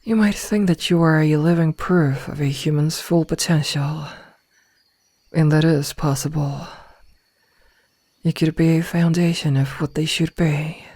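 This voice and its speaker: Woman acting as yandere werewolf woman, ASMR roleplay, soft and husky, slow cold delivery, possessive, half-whispered, close dry sound. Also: low voice, steady tone, dry recording. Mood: cool, warning. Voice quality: soft, breathy, often slipping into whisper. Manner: cold, callous, deliberate word delivery. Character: possessive yandere female werewolf omega, menacingly loving.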